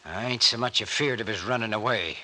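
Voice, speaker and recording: An actor playing a stubborn, bossy rural mayor with a gruff, folksy American country way of talking, in an old-time radio serial. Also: male voice, on the low side, a little fast, sharp tone. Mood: uneasy. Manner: gruff, folksy backwoods speech. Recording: noisy old radio recording with clicks.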